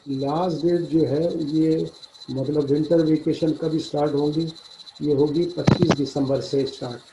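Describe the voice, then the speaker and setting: A man's voice: fairly high pitch, fairly even intonation, measured pace. A man lecturing on law, explaining and repeating his phrases while mixing in English legal terms. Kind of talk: explanatory online law lecture with student questions. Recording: roomy echo, faint background noise.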